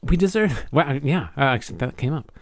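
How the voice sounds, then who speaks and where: A man with a mid-pitched voice chats casually as a podcast co-host. He is enthusiastic but halting, often repeating and rephrasing words as he goes. The sound is dry, with no room echo. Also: expressive. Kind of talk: casual chat, telling a personal anecdote.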